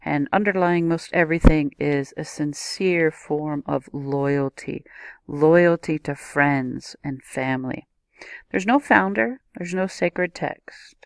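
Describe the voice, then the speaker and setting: A woman's voice: deep voice, slow pace, vocal fry, no echo. A woman teaching a recorded video lesson, explaining step by step and speaking directly to the viewer. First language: not a native Japanese speaker.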